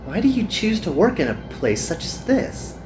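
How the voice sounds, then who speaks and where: A woman voicing a kind, earnest young magical girl in a visual novel, with gentle and formal phrasing. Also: medium pitch, very animated, normal speed, music in the background, lightly acted. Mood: sympathetic.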